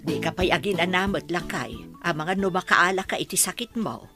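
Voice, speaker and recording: A woman's voice: lowish voice, fairly quick, over music, natural voice. A woman with an Ilocano Filipino accent playing an anxious wife and mother fretting over her sick husband in a radio drama. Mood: concerned.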